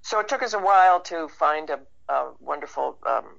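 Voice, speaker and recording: A woman's voice: low voice, animated, normal speed, creaky. A woman speaking casually and conversationally as a guest in an interview. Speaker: interview guest, actor and writer. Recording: dull band-limited radio call.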